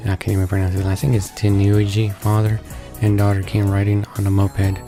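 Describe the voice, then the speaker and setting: Male let's play narrator, deep-ish and even in intonation, halting read-aloud delivery of game text. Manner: stumbles and rereads words while reading. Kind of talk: reading a visual novel aloud. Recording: voice-over with game music behind.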